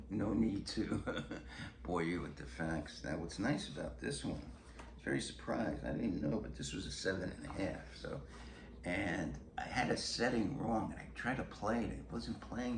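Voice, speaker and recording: An older man chatting casually as he shows off a music collection, rambling and blunt in a chuckly way. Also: fairly low pitch. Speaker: host of a music collecting vlog. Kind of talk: casual show-and-tell chat. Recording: roomy echo with clear background noise.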